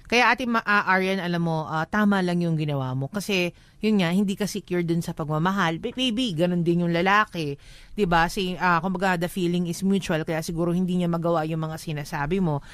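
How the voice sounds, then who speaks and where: A woman's voice: fairly low pitch, brisk, a bit bright, clear, resonant tone, no room sound. A woman with a Filipino accent co-hosting an advice show, talking conversationally in a frank, emphatic way and slipping into English. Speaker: radio advice show co-host.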